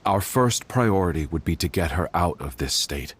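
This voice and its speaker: Low-voiced, grave family doctor. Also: male voice, expressive, a little hiss or hum. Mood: measured, considering. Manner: formal, measured, solemn. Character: grave, measured male household doctor bearing sad news. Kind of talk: video game voice acting.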